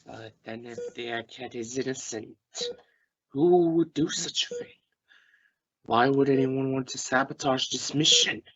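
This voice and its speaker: A man's voice: mid-range voice, very animated, unhurried, fairly bright tone, breathy edge, muffled recording, exaggerated voice. A man playing an exasperated, suspicious space crewman in an amateur radio drama, questioning emphatically. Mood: puzzled, probing. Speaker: amateur radio play actor.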